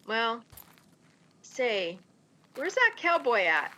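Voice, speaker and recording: A student actress playing a folksy, excitable, gossipy marshal's sidekick in an amateur western radio play. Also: female voice, on the high side, drawn-out words, exaggerated voice. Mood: indignant.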